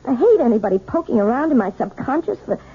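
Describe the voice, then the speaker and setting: A troubled wife in an old radio drama, hesitant and evasive. Her high voice is noticeably breathy. It comes through a thin, narrow-band recording. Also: big pitch swings, fast, exaggerated voice. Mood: weary, defensive. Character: troubled, guarded, weary wife and piano teacher.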